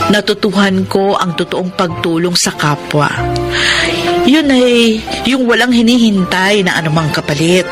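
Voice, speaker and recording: An actress playing a grateful, devout young woman in a Filipino radio drama, sincere, warm and respectful. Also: medium pitch, close to an everyday voice.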